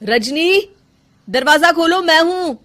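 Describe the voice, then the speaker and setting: A woman voicing an earnest young husband in an animated story, concerned and insistently questioning. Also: medium pitch, moderate pace. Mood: insistent, upset. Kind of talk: animated moral story dialogue.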